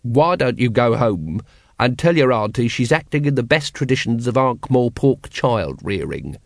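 A weary city watchman, played by a male narrator in a storybook reading. He sounds wry and exasperated at a middling pitch, in a very dry recording. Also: very animated, moderate pace, vocal fry, no room sound.

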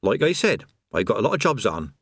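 A man doing a breezy creator of worlds who chats like a workman on a job, his voice bobbing up and down in a lively way. He sounds a little higher than an everyday voice, and the recording is dry and close. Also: brisk, husky, dry recording, heavily acted. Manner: chatty, rambling, casual workman's talk. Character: cheerful absent-minded male creator of worlds, chatty tradesman.

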